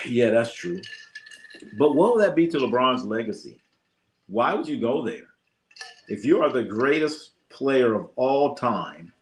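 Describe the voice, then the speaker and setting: A man's voice: medium pitch, fairly bright tone. An American man hosting a sports livestream in casual, slangy talk, giving blunt opinions and repeating phrases for emphasis. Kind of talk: casual live sports commentary, opinionated chat.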